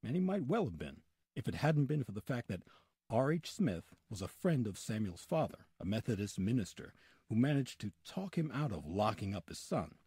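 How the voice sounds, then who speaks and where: A man tells history like a radio storyteller, with a husky voice and lively swings in pitch. The delivery is scripted, dramatic and emphatic. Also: moderate pace, no echo, clean studio sound. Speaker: narrator, storyteller. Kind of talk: scripted old-time radio style narration.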